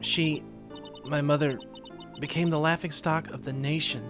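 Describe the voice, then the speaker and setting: Game dub: soft-spoken orphaned girl medium in early adulthood played by a man, raised pitch, earnest, sad, over prominent music. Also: fairly even intonation, measured pace, close to an everyday voice. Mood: somber. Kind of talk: amateur video game voice-over.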